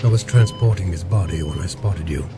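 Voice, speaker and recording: Man acting a curt, low-voiced security chief, loud music. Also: a little fast, natural voice. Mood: curt, official. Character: stern, brusque male hospital security chief. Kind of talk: audio drama acting.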